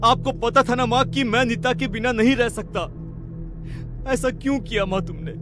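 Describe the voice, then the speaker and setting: A man's voice: extremely high pitch, normal speed. A man playing an anguished, betrayed son and lover in a Hindi cartoon melodrama, emotional and pleading. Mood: anguished accusation. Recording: loud background music.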